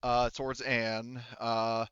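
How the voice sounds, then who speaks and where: A man acts as game master in a loose, conversational style. His voice is smooth and clear, close and dry. He rambles, halts and swears freely as he rules on play. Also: mid-range voice, flat delivery, fairly bright tone, no echo, muffled recording. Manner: rambling, halting, profane. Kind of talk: casual tabletop game chat.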